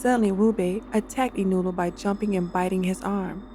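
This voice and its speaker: A woman narrating her own dark fantasy audio drama in a steady storytelling style. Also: medium pitch, moderate pace, a little hiss or hum. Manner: steady storytelling, voices every character. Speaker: narrator and author.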